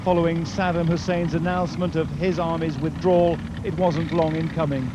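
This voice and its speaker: A man's voice: a little high, little pitch movement, fairly quick. A man reading a scripted war report as a news correspondent. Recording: dull lo-fi field recording, faint vehicle noise.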